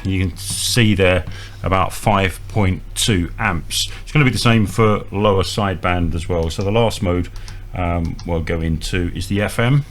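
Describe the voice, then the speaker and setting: A man's voice: sits low, a little slow, hardly any pauses. A man presenting an informal, hands-on equipment demonstration, explaining step by step with a hesitant delivery. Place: indoors at a small equipment bench. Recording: audible electrical hum and noise.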